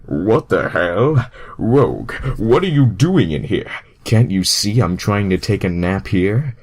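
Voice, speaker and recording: A man's voice: on the low side, lively intonation, takes it slowly, darkish tone, breathy edge, heavily acted. A man voicing a friendly, easily startled cartoon hedgehog hero in a fan fiction reading. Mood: startled, annoyed.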